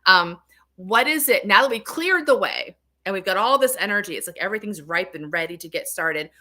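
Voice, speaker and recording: A woman's voice: medium pitch, expressive, brisk, pushed and bright. A woman hosting a casual livestream as a tarot reader, chatting to viewers in a rambling, self-interrupting way.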